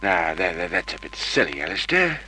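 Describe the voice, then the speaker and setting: An actor playing a sensible, reproving assistant film director in an old radio drama. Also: male voice, sits low, sing-song, normal speed, pushed and bright, soft background music, exaggerated voice. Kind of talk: 1950s radio drama acting. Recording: old radio recording, faint noise.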